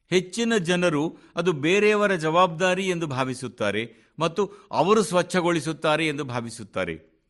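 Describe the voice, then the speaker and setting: Higher-pitched man reading a formal address. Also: fairly bright tone. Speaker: radio reader of a translated speech. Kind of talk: formal scripted radio address, read aloud.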